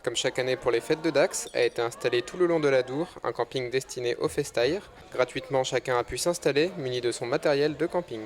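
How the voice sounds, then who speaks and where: A man's voice: medium pitch, a little fast, few pauses. Man reading a news voice-over. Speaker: reporter.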